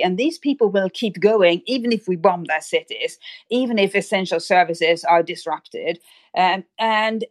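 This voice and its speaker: A woman speaking as an expert guest in an interview, explaining things in an articulate, measured way. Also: mid-range voice, no room sound. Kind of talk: spoken analysis in an interview.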